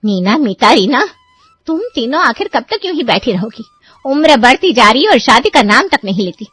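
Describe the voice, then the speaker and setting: A woman's voice: a little high, big pitch swings, normal speed, a bit bright, husky, no echo, faint music behind, heavily acted. A woman playing an exasperated middle-aged mother in a cartoon story. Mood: exasperated, nagging. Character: exasperated middle-aged mother scolding her grown children. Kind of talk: Hindi cartoon story voice acting.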